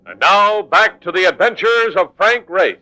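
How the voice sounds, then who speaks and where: A man's voice: high-pitched, animated, measured pace, sharp tone, low-quality recording. A man announcing a 1950s radio adventure show in a formal broadcast style. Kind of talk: 1950s radio announcing over organ music. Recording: vintage radio recording with organ underneath.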